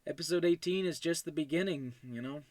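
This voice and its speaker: A man's voice: a little high, fairly quick, no echo. Emotional, stammering man, close dry sound. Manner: effusive, stammering excitedly, trailing off and restarting. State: emotional, overjoyed. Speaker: host and creator of an animated web series. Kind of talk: casual unscripted thank-you monologue.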